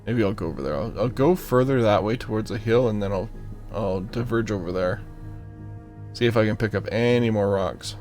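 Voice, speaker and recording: A man's voice: lowish voice, normal speed, darkish tone, soft background music. A man giving casual gameplay commentary, thinking aloud and muttering to himself with playful asides. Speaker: gaming channel host.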